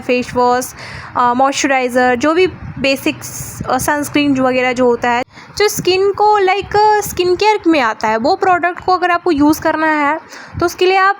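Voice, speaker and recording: A woman's voice: high voice, slight background noise. A young woman hosting a casual commentary podcast, talking in a chatty way with English words mixed in and frequent fillers. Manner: chatty, mixes English into Hindi, lots of fillers. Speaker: podcast host.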